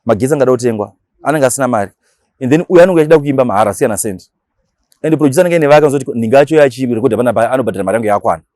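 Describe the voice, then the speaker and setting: A man's voice: medium pitch, rapid-fire, darkish tone, dry recording, clean studio sound. A man with a Zimbabwean accent, talking casually as a radio DJ being interviewed, mixing languages and repeating words for emphasis.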